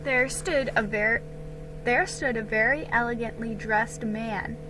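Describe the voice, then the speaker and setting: A woman giving an amateur dramatic storybook reading, deliberate and a little halting, with stumbles. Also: on the high side, pushed and bright, slight background noise, low-quality recording.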